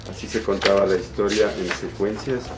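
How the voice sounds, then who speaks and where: An Ecuadorian man giving an informal, somewhat halting explanation of his work as an illustrator, often repeating words. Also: on the low side, steady tone, noisy background. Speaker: comic illustrator. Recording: roomy echo with music behind. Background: background music.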